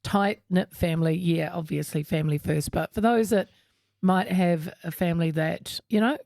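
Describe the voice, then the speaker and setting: A woman's voice: lowish voice, moderate pace, gravelly, a little mellow, dry recording, clean studio sound. A woman co-hosting a casual podcast chat, talking openly and laughing often. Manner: chatty, laughs often, opens up on personal matters. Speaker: podcast co-host.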